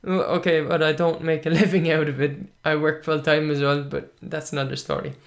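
A man's voice: on the high side, a little fast, vocal fry. Chatty Irish man from County Clare. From Ireland. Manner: chatty, laughs often, relaxed and conversational. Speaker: podcast host. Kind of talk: casual solo podcast, reading out comments.

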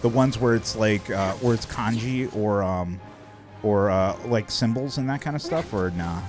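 A man's voice: lowish voice, moderate pace, a little mellow, soft background music. A man in his thirties, streaming a game from a small room at home, chatting casually into a studio mic, rambling with lots of fillers and restarts. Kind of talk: casual chat while gaming. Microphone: studio mic in front of face.

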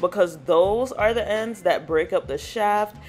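A woman's voice: medium pitch, measured pace, a little mellow. A woman hairstylist narrating her salon vlog in a casual, chatty way, walking viewers through her steps. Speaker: natural hairstylist.

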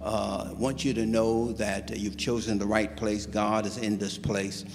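A man's voice: on the low side, level tone, measured pace, gravelly, a little hiss or hum. A middle-aged male pastor welcoming his congregation from the pulpit, calling for amens. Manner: warm call-and-response greeting. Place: large church hall. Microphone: stand mic at pulpit. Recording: reverberant church hall.

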